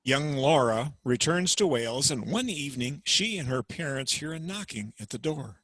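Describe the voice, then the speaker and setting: A man's voice: mid-range voice, animated, moderate pace, fairly bright tone, dry recording. A man narrating plot summaries between scenes in a plain, matter-of-fact way, recorded at home over a video call. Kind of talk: plot summary for a dramatic reading.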